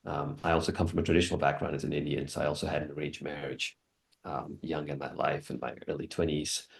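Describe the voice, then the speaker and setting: A man in his thirties from Singapore, telling his own story on a panel in a reflective, earnest, measured way. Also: rumbling low, level tone, vocal fry. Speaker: panelist, wilderness guide.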